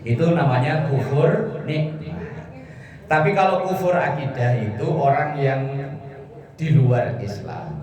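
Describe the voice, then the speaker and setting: A man's voice: mid-range voice, expressive, takes it slowly, a crowd in the background. A man preaching informally, explaining scripture phrase by phrase in a conversational, joking manner. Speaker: Islamic preacher. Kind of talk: informal sermon explaining Quran verses. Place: echoey room with a listening congregation. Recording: echoey hall, distant mic, audience murmur.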